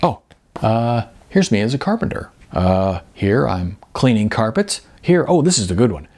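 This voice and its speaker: A man's voice: lowish voice, sing-song, normal speed, darkish tone. A man voicing a good-natured, self-deprecating model in his forties, hesitant and full of ums, in an audiobook. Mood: chatty, self-deprecating. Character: modest, good-natured balding 40-something male commercial model. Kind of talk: audiobook character dialogue.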